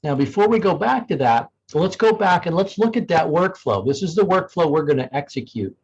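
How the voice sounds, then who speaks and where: Higher-voiced man giving a software demo, dull audio. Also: expressive, a little fast, breathy edge. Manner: conversational, explanatory, thinking aloud. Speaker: software demonstrator. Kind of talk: informal software demo walkthrough.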